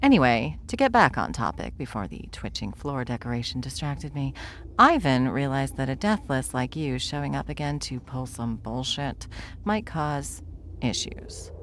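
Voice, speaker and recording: A woman playing a smug, world-weary veteran witch in a fantasy audio roleplay, dry, condescending and unhurried. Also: rumbling low, big pitch swings, a little hiss or hum, exaggerated voice. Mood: wry, confident.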